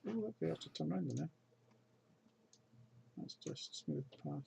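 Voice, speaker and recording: A man's voice: fairly high pitch, normal speed, vocal fry, dark tone, slightly rough. A game developer, a man narrating his own coding in a recorded video, muttering each step as he works. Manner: mutters his steps as he codes. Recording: keyboard typing clicks behind.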